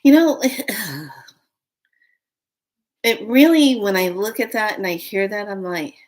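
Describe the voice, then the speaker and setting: A woman's voice: medium pitch, expressive, takes it slowly. A woman in her late fifties chatting casually to viewers on a live stream, rambling through a story. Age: 50s. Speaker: vlogger.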